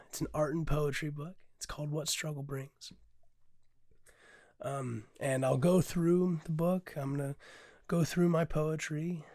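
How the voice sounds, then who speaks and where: Hoarse man with a dry close sound. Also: on the high side, dry recording. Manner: candid, unpolished, swears freely, many ums; drops to a hush at times. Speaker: podcast host and poet. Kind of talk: casual reflective monologue with poetry reading.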